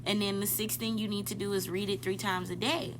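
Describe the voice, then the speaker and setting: A woman's voice: medium pitch, level tone, brisk, slight background noise. A woman in her twenties hosting a podcast, chatting casually and directly to her listeners, often correcting herself mid-thought. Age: 20s. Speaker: podcast host. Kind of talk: casual motivational podcast talk.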